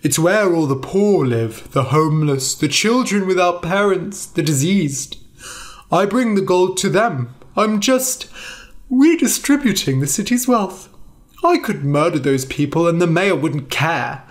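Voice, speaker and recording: A storyteller voicing a volatile, soft-hearted male dragon outlaw who swings from threats to tears. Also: mid-range voice, expressive, unhurried, natural voice. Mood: aggrieved. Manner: swings between menacing and teary gushing. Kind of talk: storybook reading.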